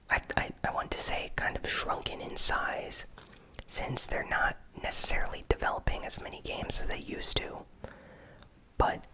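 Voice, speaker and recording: This is an ASMR host who whispers through a gaming news recap. The voice is breathy and almost entirely unvoiced. The delivery is hushed and unscripted, with a hesitant flow. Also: low-quality recording.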